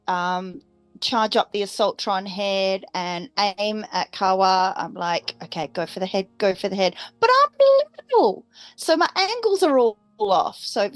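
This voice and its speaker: A woman's voice: mid-range voice, smooth, no echo. A woman playing a tabletop roleplaying game, chatting casually and thinking aloud hesitantly.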